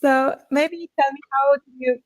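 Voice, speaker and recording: A woman's voice: high voice, steady tone, a little slow. A woman hosting a live online interview, hesitant with many fillers, German as her first language. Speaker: interviewer, live show host.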